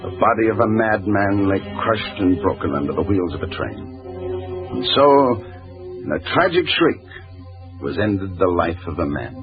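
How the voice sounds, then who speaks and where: A grim police inspector with clipped authority and a brooding, guilt-haunted air. His mid-pitched voice moves in lively swings. It is a 1940s radio play, dull in sound, with music behind. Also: normal speed. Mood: brooding, grave. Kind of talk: 1940s radio drama acting with scripted first-person narration.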